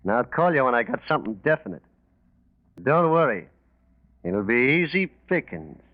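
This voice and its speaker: Actor as cocky small-time racketeer, thin narrow sound. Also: male voice, medium pitch, big pitch swings, moderate pace, dry recording, close to an everyday voice. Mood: sly, businesslike. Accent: American. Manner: slangy, clipped tough-guy talk. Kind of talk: 1940s radio acting. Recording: thin, dry, narrow-band 1940s radio recording.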